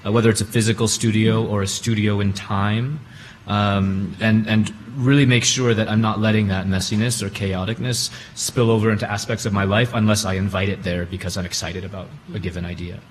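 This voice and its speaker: A man's voice: lowish voice, level tone, brisk. A man speaking informally as a creative artist on a discussion panel. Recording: live room with background noise.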